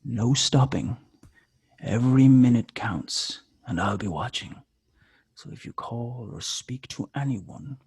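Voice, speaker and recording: An actor playing a menacing kidnapper in a screenplay table read, controlled and commanding, with a husky, gravelly voice. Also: male voice, medium pitch, slow pace, a little mellow, full character voice. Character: menacing male kidnapper threatening a mother by phone.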